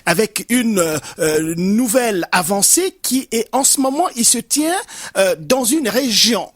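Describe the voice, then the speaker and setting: A man with a Congolese French accent delivers live political commentary. His voice is fairly high and recorded very dry. He speaks haltingly, repeating words and hesitating often. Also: lively intonation, a bit bright, no echo. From Congo-Brazzaville. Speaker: radio political columnist. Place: radio studio.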